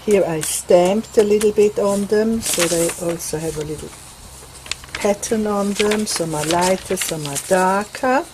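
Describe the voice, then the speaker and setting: A woman's voice: mid-range voice, measured pace, background noise. A woman from Switzerland with a Swiss accent, chatting unhurriedly through her craft papers on an outdoor veranda and listing items one by one. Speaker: crafting vlogger. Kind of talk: casual craft show-and-tell. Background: light breeze.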